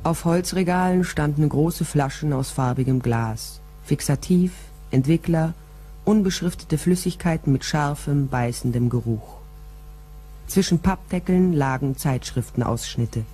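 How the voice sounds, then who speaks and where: A woman's voice: sits low, unhurried, dark tone, slightly rough. An actress playing a driven female private detective and former policewoman in a German crime radio play, reflective and probing. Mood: brooding, focused. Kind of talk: German crime radio play, first-person narration and dialogue.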